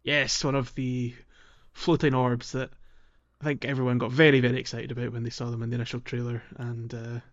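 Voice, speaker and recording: A man's voice: mid-range voice, level tone, fairly quick. Lo-fi male podcast co-host, casual. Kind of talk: casual gaming podcast chat.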